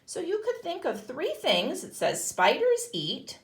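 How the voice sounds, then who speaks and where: A woman's voice: very high-pitched, huge pitch swings, moderate pace. A woman kindergarten teacher giving a read-aloud lesson to young children, explaining in simple, emphatic phrases. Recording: echoey room.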